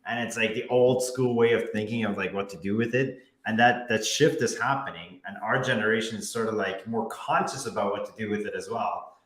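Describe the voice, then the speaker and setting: A man's voice: on the low side, steady tone, brisk, a bit bright. A man, a real estate broker hosting an interview, thinking aloud with frequent restarts. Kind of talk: casual interview chat. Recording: echoey room.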